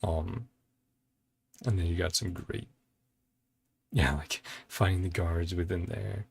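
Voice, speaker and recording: A man's voice: sits low, slow pace, creaky, darkish tone, husky, dry recording. A man giving casual reaction commentary as a video host, thinking aloud in a laid-back way with music behind him.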